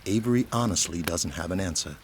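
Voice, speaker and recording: A man's voice: low-pitched, expressive, quick pace, noisy background. A man giving scripted narration as the narrator of an audio drama.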